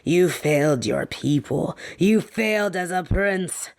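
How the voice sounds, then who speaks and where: Woman doing a snake monster girl captor, low voice, real slow n teasing, softly menacing bedtime story vibe, fantasy audio rp. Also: animated, creaky, heavily acted. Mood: gloating, menacing. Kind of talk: scripted fantasy audio roleplay.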